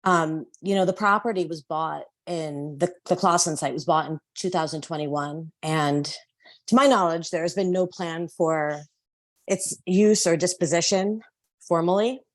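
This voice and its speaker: A woman with a loud voice calling in to make an earnest public comment at a council meeting. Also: fairly low pitch, no echo. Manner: earnest, measured advocacy. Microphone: phone or call-in line.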